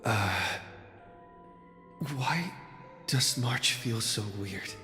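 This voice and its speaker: A wary young hero from a fantasy game. He speaks slowly at a medium pitch, hesitant and inward, like private musing. His voice has a heavy echo. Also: animated, bright, forceful tone, heavily acted. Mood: suspicious, puzzled. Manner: slow, hesitant, introspective musing. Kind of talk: video game voice acting. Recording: echoing hall reverb with music.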